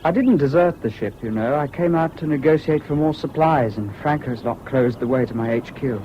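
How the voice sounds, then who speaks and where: A man's voice: mid-range voice, normal speed, dark tone, close to an everyday voice. A man acting a bitter, intense young volunteer back from war in an old radio play. His speech is clipped and emphatic. The recording is dull with faint noise. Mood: defensive. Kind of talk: 1970s British radio drama acting.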